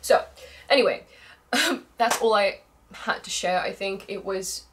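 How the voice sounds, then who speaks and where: Young dutch-speaking woman gushing to camera, voice a bit strained from yelling, laughs a lot. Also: medium pitch, measured pace, a little hiss or hum. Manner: gushing, excitable, laughs often. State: strained voice from screaming. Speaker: vlogger. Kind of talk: casual chat to camera.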